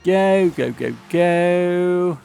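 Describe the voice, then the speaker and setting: A man talks through a racing game in a relaxed, chatty commentary. His voice is croaky and gravelly from a sore throat. Faint game music plays underneath. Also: high-pitched, extremely slow. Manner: chatty, grumbling about the game. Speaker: gaming channel host. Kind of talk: casual gameplay commentary.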